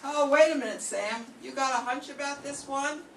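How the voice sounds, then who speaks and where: An older woman playing a gruff, world-weary male police lieutenant with a soft heart, in an amateur 1940s-style radio play. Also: high voice, normal speed. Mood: eager. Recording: echoey room from far off, faint hiss.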